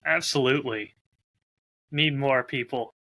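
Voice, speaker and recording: A man chatting casually as a podcast co-host in a low-key, measured, slightly hedging way. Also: bright, forceful tone. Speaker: podcast co-host and audio drama creator.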